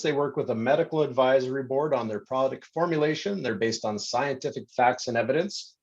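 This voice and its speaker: A man presenting a promotional pitch in a scripted-sounding way. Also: highs cut off.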